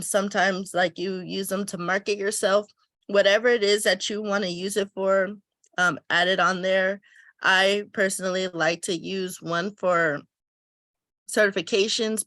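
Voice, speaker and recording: A woman's voice: medium pitch, dry recording. A woman who runs a travel business gives a casual step-by-step tutorial on weekly planning, thinking aloud as she fills in her schedule, her voice a bit stuffy from a cold. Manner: thinks aloud while filling in a planner, relaxed and chatty. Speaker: travel agency owner teaching planning.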